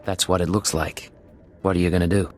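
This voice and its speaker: A man's voice: sits low, flat delivery, brisk, faint music behind, close to an everyday voice. A man playing a confident, dedicated undercover imperial agent in a video game, with a cool, clipped, businesslike manner.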